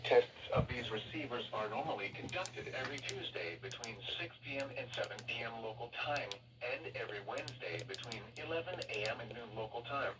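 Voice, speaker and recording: A man's voice: mid-range voice, expressive, brisk, few pauses. Distant, noisy automated male weather radio announcer. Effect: played through a weather radio speaker. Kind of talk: formal scripted weather bulletin reading. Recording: distant noisy radio reception, re-recorded in room.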